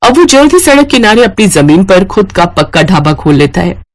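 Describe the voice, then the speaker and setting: Cartoon moral-tale narrator, scripted read, very animated pitch movement, mid-range voice. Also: moderate pace.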